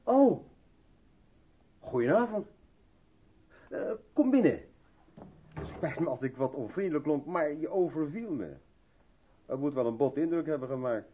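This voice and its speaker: Brusque, reluctant man, husky and animated. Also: fairly high pitch, measured pace, long pauses, narrow, tinny audio, full character voice. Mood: flustered, apologetic. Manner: brusque, hesitant. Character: brusque, reluctant mixed-race South African man, absorbed in work. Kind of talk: 1970s Dutch radio drama acting.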